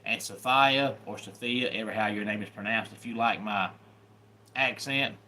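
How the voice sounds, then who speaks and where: A man's voice: fairly low pitch, normal speed, pushed and bright, a little hiss or hum. A man with a Southern accent, hosting a live stream in a folksy, welcoming manner. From Southern United States. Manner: folksy and welcoming, greets viewers by name. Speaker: YouTube channel host. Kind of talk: casual live-stream chat.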